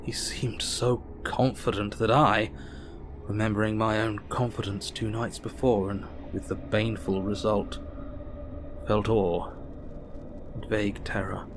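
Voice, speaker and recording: An actor playing an earnest, troubled Victorian doctor, narrating his diary with a measured, formal, reflective manner. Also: on the low side, flat delivery, background noise. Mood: uneasy. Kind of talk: audio drama narration.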